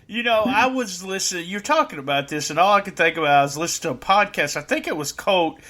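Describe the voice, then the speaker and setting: A man's voice: sits high, expressive, fast talker, sharp tone. A middle-aged man hosting a podcast, rambling with lots of fillers and joking with his guest. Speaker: podcast host. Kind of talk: casual interview chat.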